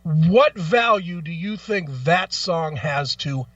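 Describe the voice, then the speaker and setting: A man's voice: fairly high pitch, animated, takes it slowly, a bit bright, no echo, far from the mic, lo-fi sound. A man hosting a talk show, ranting casually in a blunt, profane, emphatic way and arguing through rhetorical questions.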